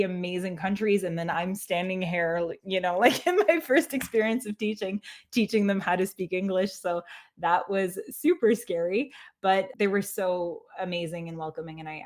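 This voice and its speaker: A woman in her thirties from Quebec whose first language is French, hosting a teaching webinar in a chatty, self-deprecating way, laughing often and filling with "like". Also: fairly low pitch. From Quebec, Canada. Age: 30s. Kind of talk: casual webinar talk.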